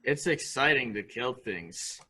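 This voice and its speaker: An actor plays a childlike, dangerous grown man in an amateur stage-style mystery. His voice is extremely bright and forceful, with a boyish, taunting eagerness. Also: medium pitch, animated, a little slow, slightly rough, heavily acted. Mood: childish excitement. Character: childlike, unstable grown man thrilled by guns and killing. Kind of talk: amateur radio-play acting.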